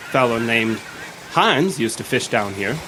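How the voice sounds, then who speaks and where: A man's voice: mid-range voice, animated, unhurried, close to an everyday voice. Man as swooping, hesitant wharf fisherman. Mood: evasive, puzzled. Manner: hesitant, stammering. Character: hesitant, evasive, dim-sounding fisherman on a wharf. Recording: clear background ambience noise.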